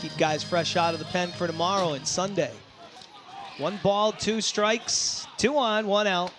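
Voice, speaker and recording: A man calling a baseball game live as play-by-play announcer, excitable and given to shouting on big plays. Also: high voice, unhurried, over music. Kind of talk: live sports commentary. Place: outdoor baseball ballpark.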